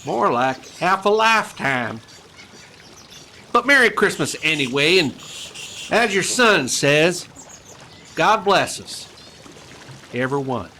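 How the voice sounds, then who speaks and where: An actor playing an excitable old Western miser turned joyful in a radio play, stammering and repeating himself. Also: male voice, fairly high pitch, slow, pauses often, slight background noise, exaggerated voice. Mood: joyful, emotional.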